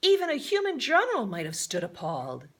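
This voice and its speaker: A woman reading a children's adventure novel aloud as a storyteller, expressive and given to explanatory asides to her listeners. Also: high voice, a little fast.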